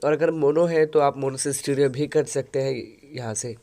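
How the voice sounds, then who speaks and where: A man hosting a software tutorial, explaining an audio editor step by step into a headset mic. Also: medium pitch, steady tone, moderate pace. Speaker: tutorial host.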